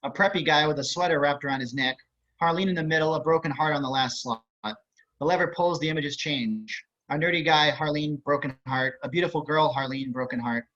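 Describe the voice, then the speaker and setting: A man's voice: fairly high pitch, brisk, fairly bright tone. A man reading stage and screen directions aloud as scripted narration. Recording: muffled online call audio.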